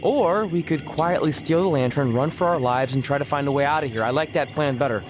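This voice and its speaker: An actor playing a sarcastic, reluctant wrestler hero in a video game, talking casually with uneasy wisecracks. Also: male voice, on the high side, brisk, noisy background, lightly acted. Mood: wry, worried.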